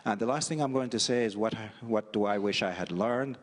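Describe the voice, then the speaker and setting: A middle-aged man with a very deep voice speaks on a conference panel. He halts often, filling gaps with ums and uhs. His voice rings with the long reverb of a large hall. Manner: hesitant, halting with many fillers. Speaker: panelist, engineering dean. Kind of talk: panel talk.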